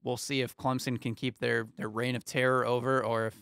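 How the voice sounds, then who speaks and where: Smooth-voiced young man co-hosting sports talk. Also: medium pitch, fairly quick, dry recording, studio quality. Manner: runs through scores in a steady rundown, many ums. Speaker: sports talk show co-host. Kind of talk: casual sports chat. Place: small studio with foam panels. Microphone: studio mic on arm, headphones.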